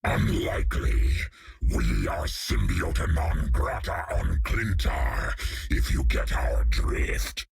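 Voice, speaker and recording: An actor playing a menacing, taunting alien symbiote creature with a guttural rasp in a video game. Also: male voice, rumbling low, very animated, takes it slowly, dry recording, clean studio sound, faint music behind, exaggerated voice. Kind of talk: video game character acting.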